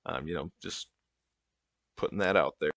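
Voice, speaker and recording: A man playing an exasperated, patronizing therapy group leader in a comedy sketch, speaking in a halting, deadpan way. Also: deep voice, gravelly, no echo. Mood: awkward, deadpan.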